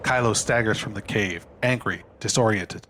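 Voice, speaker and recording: A man's voice: fairly low pitch, normal speed, a bit bright. A man giving scripted narration of a screenplay, reading scene headings and action as a narrator.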